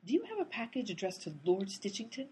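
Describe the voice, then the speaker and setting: A woman acts out a bossy, earnest amateur lady detective in a radio comedy. Her voice is husky and lively in pitch, with a pedantic, insistent delivery. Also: fast.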